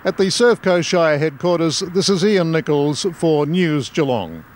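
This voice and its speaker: A man's voice: a little high, lively intonation, measured pace. A man with an Australian accent reporting and interviewing on location as a television news reporter. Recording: noisy outdoor location, traffic ambience.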